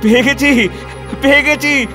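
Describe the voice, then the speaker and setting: An actor playing an ageing, stern and wounded scholar-reformer in a declamatory, emotional audio-play monologue with dramatic pauses. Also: male voice, high voice, fairly bright tone. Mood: triumphant. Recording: music under the voice. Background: background music.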